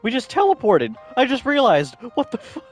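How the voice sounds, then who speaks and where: A woman's voice: a little high, wildly swooping pitch, normal speed. A woman giving casual playthrough commentary in a slangy, amused way, as a gaming content creator. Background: game music.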